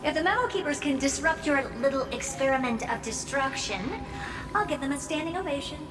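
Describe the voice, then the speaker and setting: A woman's voice: high-pitched, brisk, lightly acted. A woman playing a haughty, defiant and mocking game character with a theatrical, arch delivery. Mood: amused defiance. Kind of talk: scripted game voice acting. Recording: distant voice in echoing hall, music.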